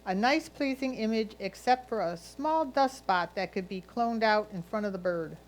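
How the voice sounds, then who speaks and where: A woman's voice: medium pitch, normal speed, rough recording. A woman reading a judge's written comments aloud as a critique.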